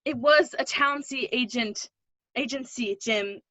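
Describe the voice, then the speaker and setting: A woman reading the part of an older, guarded but protective woman in a play, conversational and gently teasing. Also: a little high, measured pace, dry recording. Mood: pleading, earnest. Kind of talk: play readthrough acting.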